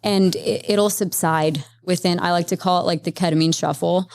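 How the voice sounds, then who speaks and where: Chatty low-voiced woman therapist. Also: normal speed. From Las Vegas, Nevada, USA. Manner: animated, explains at length with many "like" fillers. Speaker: guest, psychedelic integration therapist. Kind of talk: conversational interview.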